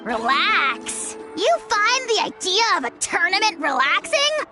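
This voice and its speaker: A woman's voice: very high-pitched, big pitch swings, a little slow, over music, heavily acted. A woman voicing a pompous, insulting little dragon lord in a video game, bombastic and scornful. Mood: mocking.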